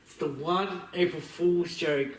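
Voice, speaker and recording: A man with a slightly high voice talks casually as a podcast co-host. He is slangy, opinionated and jokey. The sound is roomy and echoing, picked up some way from the mic. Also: steady tone, measured pace, a crowd in the background. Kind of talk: casual chat about video games and films. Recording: roomy echo, distant mic.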